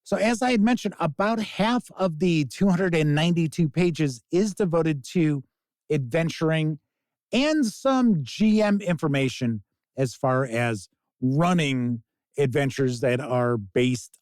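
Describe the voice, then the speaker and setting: A man hosting a tabletop game review, talking casually to camera with an emphatic sing-song delivery and drawn-out words. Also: on the high side, a little mellow, dry recording.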